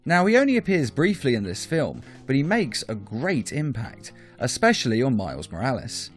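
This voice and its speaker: A man narrates a scripted top-five video as its host. His pitch is middling and swings widely as he explains and stresses his points. Soft plucked guitar music plays behind him. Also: very animated, moderate pace.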